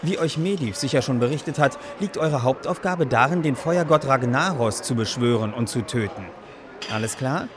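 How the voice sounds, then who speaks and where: A man's voice: mid-range voice, normal speed, background noise, lightly acted. A man playing a matter-of-fact marshal handing out quests in a fantasy parody radio play, formal and instructive. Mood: official, calm.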